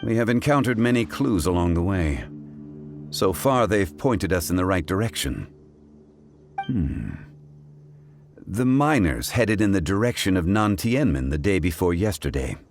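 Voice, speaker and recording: A learned, composed gentleman for a video game. His voice sits low, and his phrasing is formal and deliberate. Soft music plays behind him. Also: sing-song, exaggerated voice. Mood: grave, deliberate. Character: composed, learned gentleman consultant, grave and courteous.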